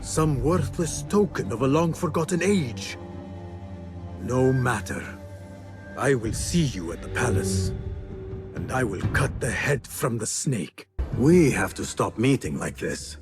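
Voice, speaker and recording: An actor playing an earnest, driven young assassin apprentice in a video game, speaking with intense solemnity. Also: male voice, mid-range voice, very animated, measured pace, over music. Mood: grim resolve. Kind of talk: dramatic scripted dialogue.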